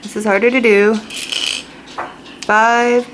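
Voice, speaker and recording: A woman's voice: fairly high pitch, little pitch movement, takes it slowly. American woman teacher, noisy recording. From United States. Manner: explanatory, asks questions of young listeners. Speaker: fifth-grade teacher. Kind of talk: children's read-aloud lesson with informal asides.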